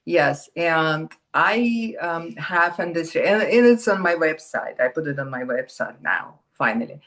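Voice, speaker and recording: Russian accent lady, bit on the low side, chatting in an interview like an actress telling set stories. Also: expressive, measured pace, a bit bright. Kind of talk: casual conversational interview.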